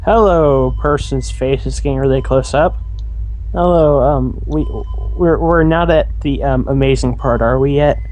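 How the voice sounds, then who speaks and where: A man giving casual, joking commentary on a video game in a rambling, halting way. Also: medium pitch, darkish tone, low-quality recording. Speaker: let's play commentator. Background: video game music and dialogue.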